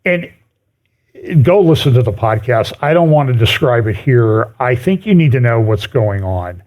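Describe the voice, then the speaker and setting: A man speaks to a church as he works through news items. His voice sits at an ordinary middle pitch. The delivery is informal and conversational, halting, with phrases often broken off and picked up again. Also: expressive, a little mellow. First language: English. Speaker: speaker giving a prophecy update at a chapel. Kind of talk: informal church talk commenting on news articles.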